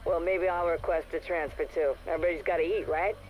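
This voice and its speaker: An actress playing a tough, sardonic facility worker with a blunt, sarcastic, slangy way of talking in a game audio log. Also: female voice, fairly low pitch, steady tone, quick pace, muffled recording, slight background noise, close to an everyday voice.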